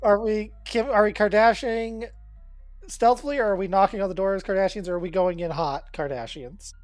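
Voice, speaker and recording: A woman's voice: mid-range voice, a little fast, darkish tone, dry recording, faint music behind. A woman playing in a tabletop game, chatty and teasing, often laughing. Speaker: player.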